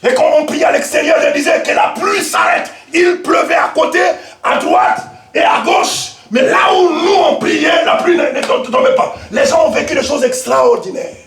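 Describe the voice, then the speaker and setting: Shouting preacher in an echoing church. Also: male voice, very high-pitched, moderate pace, creaky, few pauses, a faint crowd. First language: Lingala. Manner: alternates quiet asides with loud shouted exhortation. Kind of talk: Pentecostal sermon. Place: church hall. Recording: echoey church hall with faint noise.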